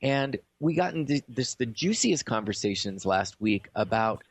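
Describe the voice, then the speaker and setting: Casting: man as chatty, reflective radio host, enthusiastic delivery, average pitch, no room sound. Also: sing-song, normal speed, husky, dry recording. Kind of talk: conversational radio hosting.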